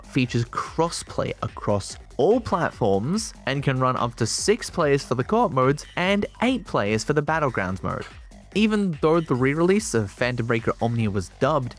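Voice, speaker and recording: A man reading a scripted video game review as a voice-over, with colloquial, wry and opinionated phrasing. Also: mid-range voice. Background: music behind the voice.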